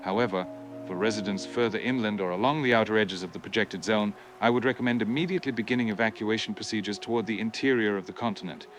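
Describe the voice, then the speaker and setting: A man's voice: lowish voice, fast talker, a bit bright, faint music behind, lightly acted. A man playing a grave scientist giving a formal, academic explanation in a TV news interview. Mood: grave, urgent. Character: grave male scientist explaining a catastrophic asteroid miscalculation. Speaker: expert interviewee on a TV news broadcast.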